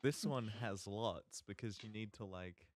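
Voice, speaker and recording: A man co-hosting a podcast in casual, joking conversation, halting often and swearing casually. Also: lowish voice. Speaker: podcast co-host.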